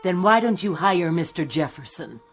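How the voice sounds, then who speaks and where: A woman playing an exasperated, sharp-tongued secretary, sarcastic and articulate, in a 1960s television drama. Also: on the low side, lively intonation, moderate pace, faint music behind, lightly acted. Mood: exasperated, scathing. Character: exasperated, sharp-tongued female secretary fed up with her employer.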